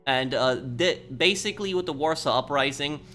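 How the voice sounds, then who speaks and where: A man's voice: on the high side, normal speed, pushed and bright. A man from the United States speaking casually and earnestly as a gaming YouTuber, explaining things as he introduces a playthrough. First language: English.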